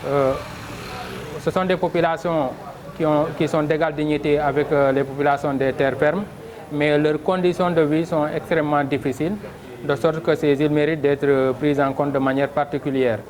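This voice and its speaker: A man with a Senegalese accent giving a formal, measured interview statement as a local official, with halting phrasing. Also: on the high side, fairly even intonation, crowd noise. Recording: clear background noise, on-location ambience.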